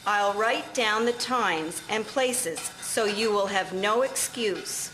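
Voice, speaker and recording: A woman playing a firm, proper 1950s schoolteacher in an amateur audio drama, speaking in a measured, formal way. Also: mid-range voice, a bit bright, a little hiss or hum, low-quality recording. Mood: stern. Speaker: actor in an amateur history drama.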